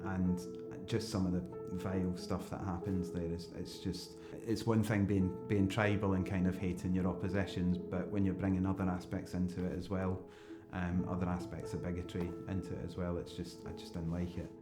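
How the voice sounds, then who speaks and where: Deep, flat Scottish man. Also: moderate pace, few pauses. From Scotland. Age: 30s. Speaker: football coach. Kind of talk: documentary interview. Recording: roomy interview with music bed.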